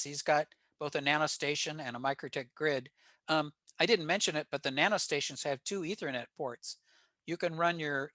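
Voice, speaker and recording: A man's voice: on the high side, a bit bright, no room sound. An American man giving an informal technical slide presentation to a club. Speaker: presenter at a ham radio club meeting.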